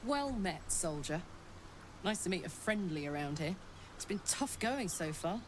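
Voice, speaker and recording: A woman's voice: on the low side, lively intonation, measured pace. A woman playing a brash, battle-hardened warrior on the run from devils in a video game, with a blunt, cocky, sweary manner. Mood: confident. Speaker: video game companion character. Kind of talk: dramatic video game voice acting. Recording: game audio with clear background noise.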